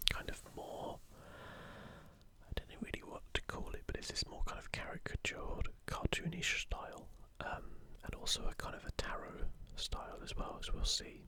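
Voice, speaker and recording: An ASMR host speaking entirely in an unhurried, gentle whisper, presenting to viewers. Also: very high voice, wildly swooping pitch. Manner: whispering throughout. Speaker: video host.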